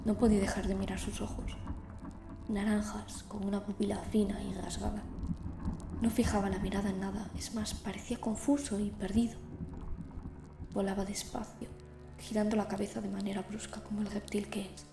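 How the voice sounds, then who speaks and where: A woman's voice: mid-range voice, flat delivery, moderate pace, vocal fry, roomy sound, background noise, natural voice. A woman playing a lonely, fearful teenage girl in an audio drama, with an introspective, confiding manner. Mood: awed, uneasy. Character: lonely, fearful teenage girl narrating her secret visions. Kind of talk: first-person audio drama narration and dialogue.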